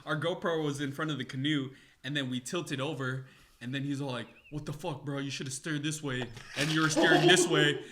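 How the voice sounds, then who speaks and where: A man with a slightly high voice talks as a podcast guest. He is casual and slangy, and other voices chatter clearly behind him. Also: steady tone, brisk. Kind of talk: casual chat with swearing.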